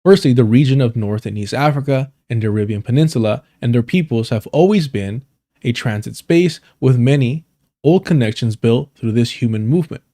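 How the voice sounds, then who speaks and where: A man's voice: mid-range voice, fairly quick, dark tone. A man hosting a livestream, reading an article aloud, often stumbling over words and correcting himself. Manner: stumbling reader who corrects himself and apologizes. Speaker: livestream host.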